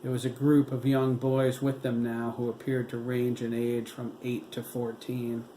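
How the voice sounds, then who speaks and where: A man reads a novel aloud in an even, unhurried manner. His voice is middle-pitched and echoes in a roomy space. A constant hiss runs under the recording. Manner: slow, steady, even reading. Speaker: narrator reading a novel. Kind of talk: audiobook narration. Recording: roomy room with steady hiss.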